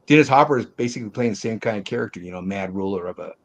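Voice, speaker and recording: A man's voice: fairly low pitch, animated, rapid-fire. Older male panel host, casual film talk, rambling, opinionated, colloquial. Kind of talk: casual movie discussion.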